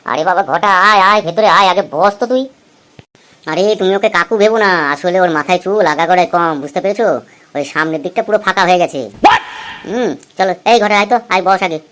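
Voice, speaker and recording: A man's voice: sits high, quick pace, fairly bright tone, smooth. A man playing a chatty, teasing, thrifty husband in his thirties in an amateur comedy cartoon. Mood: excited, welcoming. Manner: chatty, coaxing.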